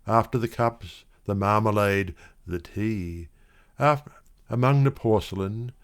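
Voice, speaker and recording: Man, lowish pitch, very slow pace, dramatic poetry reading, measured expressive phrasing. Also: smooth. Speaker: poetry reader. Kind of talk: dramatic literary poetry recitation.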